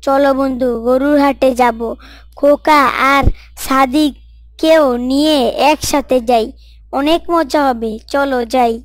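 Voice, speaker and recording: A woman's voice: sits high, steady tone, slow, no echo. A woman voicing a cheerful, eager young man who has come home for the holiday. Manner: eager, friendly.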